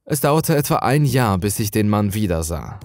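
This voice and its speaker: A man's voice: on the low side, sing-song, normal speed, darkish tone, dry recording, studio quality, faint music behind. A man reading a scripted first-person horror story as a dramatic narrator.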